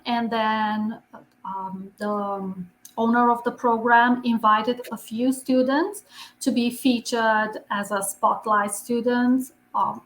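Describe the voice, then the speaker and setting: A woman with a Romanian accent speaks slowly and earnestly as a guest, English being her second language. Her voice is slightly high, and the room around her rings with echo. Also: a little hiss or hum. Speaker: podcast guest, visibility expert. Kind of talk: podcast interview. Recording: echoey room.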